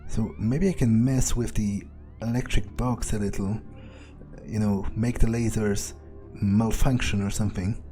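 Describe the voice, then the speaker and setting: A man thinking aloud in character as he plays a video game, muttering deliberately and swearing now and then. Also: fairly low pitch, steady tone, dark tone, soft background music. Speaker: gamer doing an in-character playthrough.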